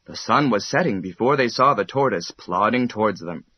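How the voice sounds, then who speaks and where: A man narrating a children's bedtime story in an expressive, measured storytelling manner. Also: fairly low pitch, slightly rough, no echo, lo-fi sound.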